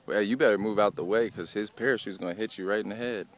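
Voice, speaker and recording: A man's voice: lowish voice, quick pace. A young man narrating a friend's launch on a mountain slope, joking, swearing and laughing, recorded on a phone outdoors. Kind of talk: excited casual narration. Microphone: phone camera held by speaker. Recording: outdoor phone video with faint wind noise.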